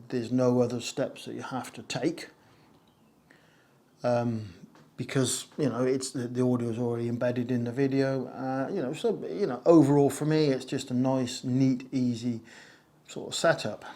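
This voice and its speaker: Slightly hoarse man, rambling casually. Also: mid-range voice, darkish tone. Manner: rambling, many "uh" and "so" fillers, self-mocking jokes. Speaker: video creator explaining audio gear. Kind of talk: casual talk to camera. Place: conservatory used as a home studio, a little echoey. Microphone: wireless lapel mic.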